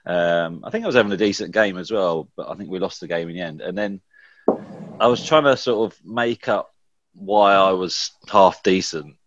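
Deep-voiced guy reminiscing on a podcast, laughs a lot, dry dull sound. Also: normal speed, fairly bright tone, no room sound. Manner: laughs easily, rambling recollection. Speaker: podcast guest, former goalkeeper. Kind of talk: casual reminiscing chat.

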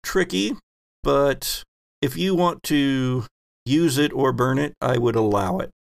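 Male game master, creaky mid-pitched voice, narrates and explains rules conversationally, very dry recording. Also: slow pace, no room sound. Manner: conversational, explanatory, jokey asides.

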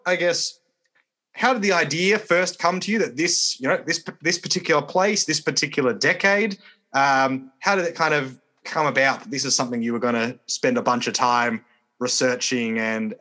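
A man's voice: fairly high pitch, normal speed, bright, forceful tone. A man hosting a podcast interview in a conversational, rambling and hesitant way. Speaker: podcast host, church minister.

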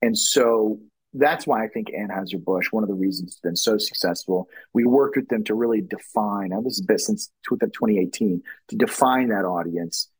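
A man's voice: lowish voice, moderate pace, breathy edge, no echo, low-quality recording. A middle-aged man from Louisiana, a brand strategist talking in a podcast interview, rambling with many restarts and fillers.

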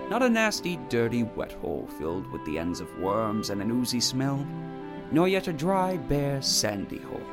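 A man playing a storyteller, narrating a fantasy tale in a scripted audio drama. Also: medium pitch. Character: animated male storybook narrator.

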